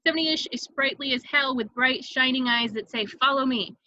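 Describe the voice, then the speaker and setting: A woman's voice: sits high, normal speed, bright, forceful tone, no room sound, muffled recording. A woman reading stage directions as scripted narration for a table read.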